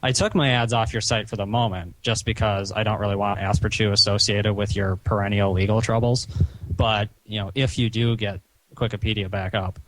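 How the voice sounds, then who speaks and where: A man talking on a recorded phone call in a stern, chiding, halting way, padding his sentences with "you know". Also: fairly low pitch, steady tone, a bit bright, dry recording. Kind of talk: phone conversation, reproachful lecturing.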